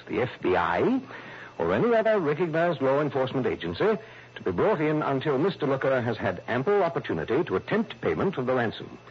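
Fussy, animated office man. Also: medium pitch, breathy edge, heavily acted. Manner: formal, precise, flustered. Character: fussy, anxious male insurance office manager. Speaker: actor in a radio drama. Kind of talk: early 1960s radio drama acting. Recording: muffled, noisy old radio recording.

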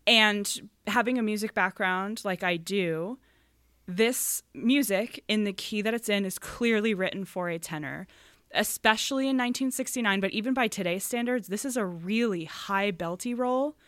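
A young adult woman co-hosting a casual podcast chat, stating firm opinions and growing animated when she makes a point. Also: mid-range voice, normal speed, no room sound, studio quality. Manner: opinionated, gets animated and emphatic. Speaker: podcast co-host.

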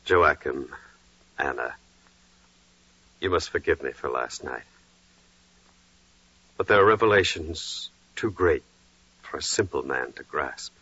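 Devout carpenter: very low, slow, earnest, reverent; echoey vintage radio play. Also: male voice, expressive, bright, forceful tone, husky, dull audio, heavily acted. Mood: awed, contrite. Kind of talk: 1940s radio drama acting.

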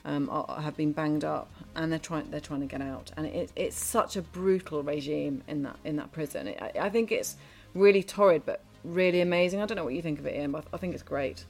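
A woman co-hosting a film and TV review show, her voice sitting a little low. She chats casually and hesitantly, full of fillers and restarts, over faint background music. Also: fairly quick, vocal fry, few pauses, a little mellow.

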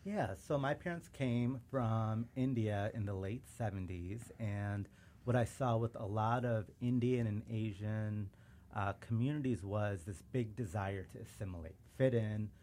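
Earnest man with a mellow low voice. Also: unhurried, a little hiss or hum. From suburban Chicago, USA. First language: Urdu. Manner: reflective, earnest, acts out quoted remarks. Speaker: podcast guest, civil rights lawyer. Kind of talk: casual interview conversation.

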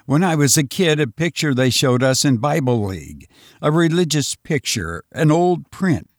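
A man's voice: on the high side, lively intonation, no room sound, clean studio sound, pitched above the performer's own voice. A man voicing a frantic, breathless escaped man. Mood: urgent. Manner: breathless, urgent, rushed. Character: frantic exhausted man fleeing invaders.

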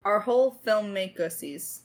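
A woman's voice: medium pitch, lively intonation, normal speed, heavy background noise. A woman talking casually in a creator Q&A, thinking aloud as a writer in a self-deprecating, hesitant way. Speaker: lead writer of an audio drama podcast.

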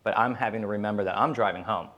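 A man with a fairly low voice talks off the cuff as a podcast panelist. He is candid and rambles a little, telling personal stories in loose, casual language. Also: rapid-fire, fairly bright tone.

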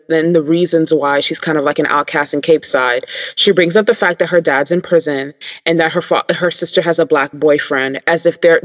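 A man co-hosting a podcast in casual chat, talking listeners through his points with lots of ums. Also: on the high side. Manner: chatty, many ums, addresses listeners. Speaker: podcast co-host. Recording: dull lo-fi sound.

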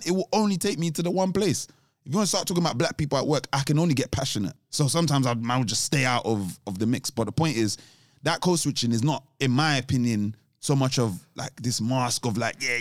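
A man's voice: medium pitch, no room sound. Reflective man, dry close sound. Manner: reflective, explains at length with restarts. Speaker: podcast co-host. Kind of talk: casual chat.

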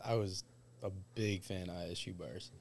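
A man's voice: low-pitched, moderate pace, gravelly, darkish tone, slight background noise. A man in his twenties, a podcast co-host who asks curious, teasing questions. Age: 20s. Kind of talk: casual chat.

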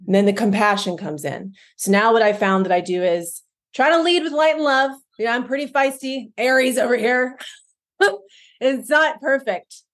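Woman co-host, casual podcast chat, emphatic and lively, slightly higher voice. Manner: animated, emphatic, laughs often.

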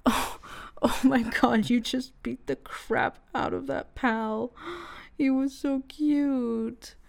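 A woman playing an affectionate, curious, easily horrified tomboy girlfriend in a casual, softly spoken ASMR roleplay. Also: fairly high pitch, steady tone, vocal fry. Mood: horrified, pleading. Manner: soft, hushed, unhurried, casual with hesitant restarts. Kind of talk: girlfriend roleplay ASMR audio, casual improvised-sounding chat.

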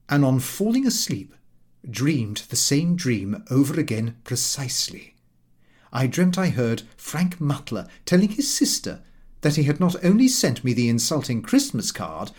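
A man's voice: fairly high pitch, darkish tone. A man narrating a comic first-person audiobook in a prim, precise, slightly aggrieved manner.

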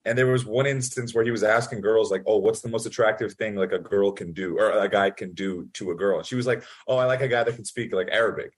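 A man's voice: fairly low pitch, breathy edge. A young man, born in Italy, telling stories in a casual interview with lots of fillers and acted-out quotes. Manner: fast, animated storytelling full of fillers. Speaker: podcast guest.

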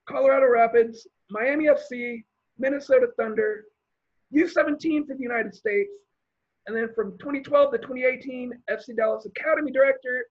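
Husky high-voiced man hosting a podcast. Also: lo-fi sound. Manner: relaxed, reads out guest background with fillers. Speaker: podcast host. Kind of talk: casual podcast interview.

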